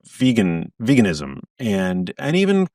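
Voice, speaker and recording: A man's voice: fairly low pitch, huge pitch swings, a little slow, a little mellow, dry recording, studio quality, far from the mic. A man guesting on an interview podcast as an author, talking earnestly in bursts with frequent restarts. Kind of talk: casual interview chat.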